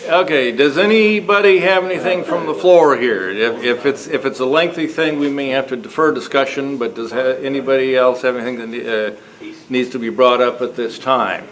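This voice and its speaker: A man's voice: medium pitch, normal speed, fairly bright tone. A man hosting an informal club meeting, making announcements to a group in a casual, hesitant way. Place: meeting room, before a group. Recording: noisy live meeting room recording.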